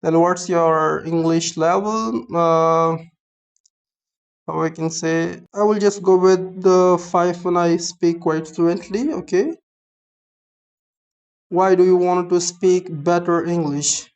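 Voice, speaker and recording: Flat-voiced young Pakistani man. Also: fairly high pitch, gravelly, smooth. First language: Urdu. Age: young adult. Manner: halting, explanatory, starts many phrases with "so". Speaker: app reviewer. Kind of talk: screen-recorded app tutorial.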